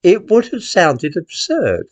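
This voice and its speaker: A man's voice: high voice, huge pitch swings, takes it slowly, dry recording. Englishman with widely swooping intonation. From England. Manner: indignant, sardonic, rhetorical questions. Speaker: commentator, host. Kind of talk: opinion monologue to camera.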